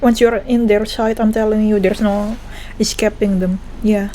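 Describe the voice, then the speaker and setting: A woman's voice: mid-range voice, little pitch movement, normal speed, warm tone, slight background noise, poor audio. Indonesian woman commentating on a game. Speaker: gaming streamer. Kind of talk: casual playthrough commentary mixing Indonesian and English. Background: game music.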